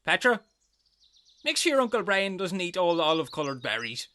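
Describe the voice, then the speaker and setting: Man playing a bright, swooping mock-messiah. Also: sits high, big pitch swings, fairly quick, no room sound, exaggerated voice. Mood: casual. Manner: theatrical, breaking into casual asides. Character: Jimmy, a flippant mock-messiah among shipwrecked lads. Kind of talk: comic audio story.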